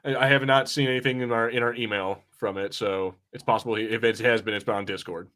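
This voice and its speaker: A man with a mid-pitched voice co-hosts a casual watch party. He rambles and corrects himself as he chats and reads viewer comments, dropping the odd swear word. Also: fairly even intonation, quick pace, sharp tone. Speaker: podcast co-host.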